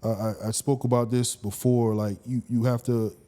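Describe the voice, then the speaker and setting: A man hosting a podcast, talking in a relaxed, conversational way with lots of "you know"s. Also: medium pitch, moderate pace. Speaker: podcast host.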